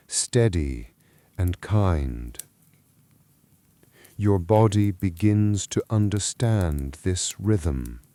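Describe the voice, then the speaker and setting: A man narrating a guided relaxation and bedtime story in a gentle, calm, unhurried and soothing way, close to the microphone. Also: low voice, no echo.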